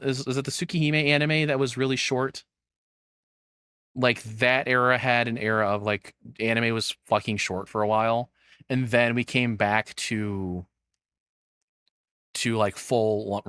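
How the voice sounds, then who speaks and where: A man's voice: mid-range voice, a bit bright, no room sound, studio quality. Rambling man giving casual reaction commentary. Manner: rambling, thinking aloud, casually profane. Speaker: streamer reacting to a video.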